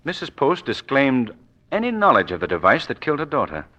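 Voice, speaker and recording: An actor playing an urbane, self-assured gentleman detective in a 1940s radio drama, speaking with authority. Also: mid-range voice, wildly swooping pitch, fairly quick, full character voice. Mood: grave, assured. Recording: dull 1940s radio recording.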